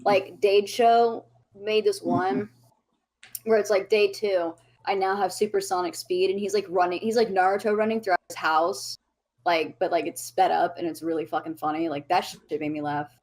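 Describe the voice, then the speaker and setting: A young woman in her twenties chats as a guest over a remote call, piling on casual swearing as she tells quick, rambling stories. Her pitch sits in an ordinary middle range. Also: darkish tone. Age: 20s. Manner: chatty, swears freely, fast storytelling. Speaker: podcast guest. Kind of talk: casual chat.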